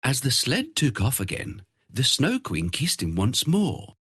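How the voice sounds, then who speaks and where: Husky, very animated male storyteller. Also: mid-range voice, a little slow. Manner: expressive storytelling. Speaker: narrator. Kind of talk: scripted fairy-tale narration.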